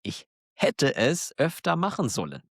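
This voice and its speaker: A man with a German accent, hosting a podcast for learners, talking slowly and clearly in a warm, encouraging way and laughing now and then. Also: fairly high pitch, huge pitch swings, dry recording, clean studio sound. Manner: encouraging, self-deprecating, laughs often. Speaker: German teacher, podcast host. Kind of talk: slow, clear monologue for learners.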